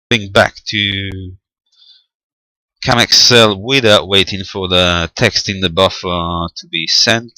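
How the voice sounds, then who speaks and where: A low-voiced man walks through a technical demonstration step by step in a very crisp, bright tone. He is unscripted and a little hesitant, thinking aloud as he works. The recording is close and dry. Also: dry recording, low-quality recording. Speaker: amateur radio tutorial presenter.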